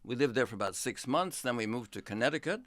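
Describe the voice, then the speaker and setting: An older man from England, a history professor, recalling his life in a relaxed interview with an unhurried, reflective manner. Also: mid-range voice, no echo. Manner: unhurried, reflective storytelling with many pauses.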